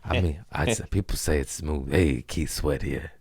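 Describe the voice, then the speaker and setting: Deep-voiced man in loose profane banter. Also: moderate pace, a little mellow, breathy edge, dry recording. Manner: loose, profane, jokey rambling. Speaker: podcast host, comedian. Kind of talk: casual chat.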